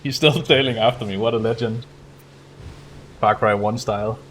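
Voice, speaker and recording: A man's voice: medium pitch, moderate pace, fairly bright tone, slight background noise, low-quality recording. A man doing casual live commentary while playing a game, chatting to viewers as he goes. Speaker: speedrunner streaming live.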